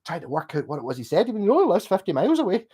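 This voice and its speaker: A man's voice: sits high, huge pitch swings, mellow, husky. A middle-aged man with a Glasgow accent chatting casually and at length, rambling through stories and reading out messages. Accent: Glasgow Scottish. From Scotland. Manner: rambling, chatty, swears and laughs, slows down when asked. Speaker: streamer reading chat. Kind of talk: casual chat.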